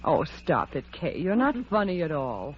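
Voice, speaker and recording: A woman's voice: low-pitched, very animated, normal speed. An actress playing a sensible, prim, easily exasperated young woman in a 1940s radio drama. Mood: chiding. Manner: proper, matter-of-fact, chiding. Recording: muffled, noisy old radio recording.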